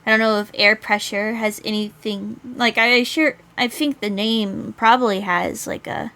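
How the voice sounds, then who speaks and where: A woman's voice: medium pitch, measured pace, gravelly, sharp tone. Woman streamer, casual and hesitant. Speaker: game streamer reading a visual novel aloud. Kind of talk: visual novel read-aloud with casual commentary.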